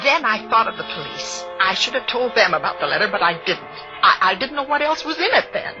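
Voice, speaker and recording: An actress playing a fussy, anxious middle-aged spinster, precise and indignant, in 1940s radio suspense style. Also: female voice, mid-range voice, big pitch swings, fairly quick, lightly acted. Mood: anxious, confiding. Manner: precise, indignant, fretful, breaking into cries. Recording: muffled old radio broadcast with music.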